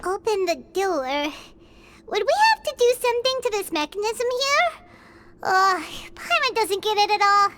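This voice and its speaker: A woman voicing a small, childlike girl companion in a video game, squeaky, excitable and impatient. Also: a little slow, background noise. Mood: puzzled, impatient. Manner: exclamatory, refers to self in third person. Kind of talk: animated game voice acting.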